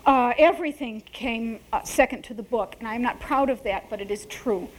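A middle-aged woman author giving an animated, self-mocking talk and laughing at her own jokes. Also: fairly high pitch, moderate pace, poor audio. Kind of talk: conversational public talk.

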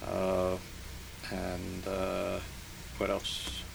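Older man, low gravelly voice, halting. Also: monotone. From Hungary, raised in New Jersey. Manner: slow, halting, many pauses and fillers. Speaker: interviewee. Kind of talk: oral history interview. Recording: noisy archival tape recording.

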